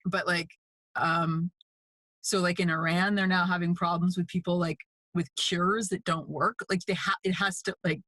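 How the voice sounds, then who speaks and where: Canadian woman guest on a podcast, chatty and informal, bright voice, really dry sound. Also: lowish voice, steady tone, normal speed, dry recording. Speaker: guest, mixed media artist. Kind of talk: casual conversational interview.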